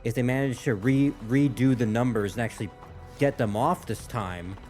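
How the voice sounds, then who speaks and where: A man hosting a reaction video speaks in a mid-pitched voice. His delivery is casual and musing, as if thinking aloud while he watches. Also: moderate pace, darkish tone, faint music behind. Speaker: host reacting to game cutscenes. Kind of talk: casual spoken commentary.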